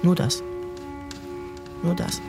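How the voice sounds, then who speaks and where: An actor playing a grown son recalling his wild boyhood, narrating a literary radio play in measured, incantatory short phrases. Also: natural voice. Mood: tender, reflective. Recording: music behind the voice.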